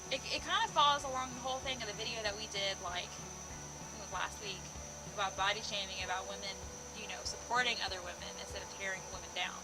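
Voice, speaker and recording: A young woman rattles off her opinions at a quick pace, blunt and emphatic. It is a casual rant recorded in a room, with music faintly present. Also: medium pitch, rough recording. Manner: blunt, emphatic, frequent swearing. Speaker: host of a parenting discussion vlog. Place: indoor room, seated in a group.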